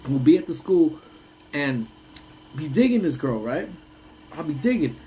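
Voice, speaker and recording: A young man with a slightly higher voice that sounds congested. He rambles through a casual live chat, laughs often and trails off mid-thought. There is a faint hum of ambience behind him. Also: big pitch swings, a little slow, mellow, low-quality recording. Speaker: live stream host.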